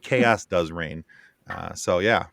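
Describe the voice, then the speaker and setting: Deep voiced guy, joking podcast chat, really dry close sound. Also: slow pace, creaky, fairly bright tone, dry recording. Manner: joking, bantering. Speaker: podcast co-host appearing as guest. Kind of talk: casual chat.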